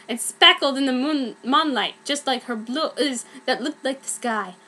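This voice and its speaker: High, bright young woman reading theatrically. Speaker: fan fiction reader. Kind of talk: comedic dramatic reading of amateur fan fiction.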